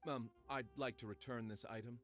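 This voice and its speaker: A man's voice: mid-range voice, fairly even intonation, a little fast, fairly bright tone, soft background music, close to an everyday voice. A man voicing a hesitant, evasive customer in a video game, stumbling with ums.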